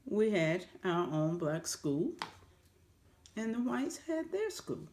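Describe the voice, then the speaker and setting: A woman answering interview questions in plain, brief, measured replies. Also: mid-range voice, very animated, darkish tone, slight background noise.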